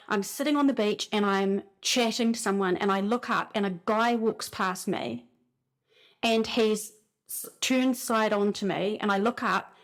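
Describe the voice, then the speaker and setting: A woman with a New Zealand accent gives a casual spiritual talk, telling stories in an animated way and often saying "like". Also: mid-range voice. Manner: animated storytelling, frequent "like" fillers. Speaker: spiritual speaker.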